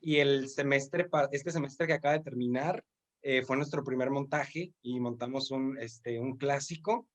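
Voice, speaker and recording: A young man with a Mexican accent and an ordinary middle pitch, chatting as an interviewer. He speaks in a friendly, casual way and often pauses on the filler "este". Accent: Mexican Spanish. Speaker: interviewer, theater student.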